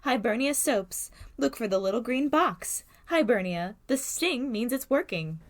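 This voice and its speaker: An actress playing a poised old-time radio pitchwoman, giving reassuring sales patter in a parody soap commercial. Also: expressive, unhurried. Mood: reassuring, salesy.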